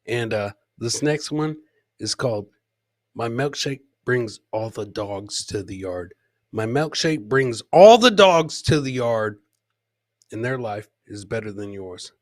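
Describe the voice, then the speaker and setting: A man's voice: medium pitch, animated, slow pace, no echo. A middle-aged man hosting a casual livestream, bantering with the chat, repeating his phrases and swearing casually, close on the mic. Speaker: podcast host and comedian. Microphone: close boom-arm microphone with headset.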